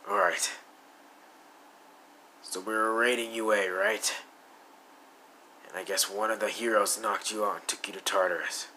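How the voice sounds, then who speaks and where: A man's voice: lowish voice, steady tone, measured pace, long pauses, bright, forceful tone, slight background noise, rough recording. A man voicing a brooding young male villain with blue fire, muttering and sometimes whispering in a fan audio roleplay.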